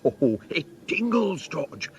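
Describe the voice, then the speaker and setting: A man playing a middle-aged English gentleman turned schemer in a comic radio play, theatrical and persuasive. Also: fairly high pitch, sing-song, slow pace, dull audio. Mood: exhilarated.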